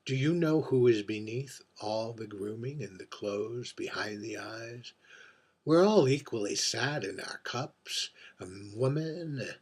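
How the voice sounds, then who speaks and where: A man playing a world-weary, wry drag performer in a theatrical monologue, confiding and deliberate, moving between hushed murmurs and emphatic phrases. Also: medium pitch, lively intonation, husky. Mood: melancholy, philosophical. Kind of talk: theatrical dramatic monologue read by its author.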